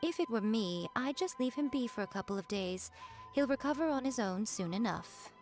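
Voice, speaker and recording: An actress playing a sly, aloof shrine priestess in a video game, wry and languid, with a playfully condescending way of talking. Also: female voice, a little high, animated, normal speed, darkish tone. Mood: dismissive. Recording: background music under the voice.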